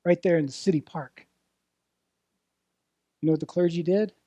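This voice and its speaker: A man delivering a sermon as a preacher, earnest and deliberate, putting rhetorical questions to his listeners with dramatic phrasing. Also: a little high, warm tone, no room sound. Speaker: preacher, ministerial intern. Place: church service, speaking to a congregation.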